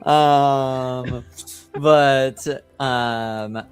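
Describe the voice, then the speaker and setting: Man, game master, very slow halting delivery, improvised tabletop narration, faint background music. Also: mid-range voice, steady tone, smooth. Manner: halting, searching for words.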